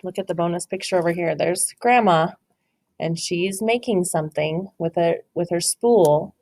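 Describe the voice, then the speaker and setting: A woman's voice: on the low side, normal speed, darkish tone. A woman teacher reading a picture book aloud to children, encouraging them and asking questions about the pictures.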